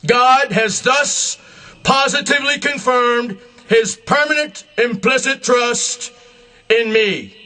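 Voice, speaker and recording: A man delivering a solemn, scripted religious address in a grandiose, emphatic manner, in deliberate short phrases. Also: very high voice, bright, forceful tone. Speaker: self-proclaimed religious leader.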